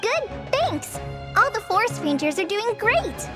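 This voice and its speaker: A woman voicing a modest, friendly young female forest ranger with soft nervous laughs in a game dub. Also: extremely high pitch, very animated, measured pace, full character voice. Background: game music.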